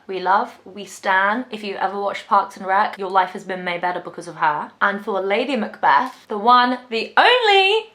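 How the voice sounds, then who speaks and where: A woman's voice: medium pitch, a bit bright. A young British woman talking casually to camera as a vlogger, playful and informal, often thinking aloud. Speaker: vlogger presenting a creative challenge. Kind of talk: casual chatty vlog talk to camera.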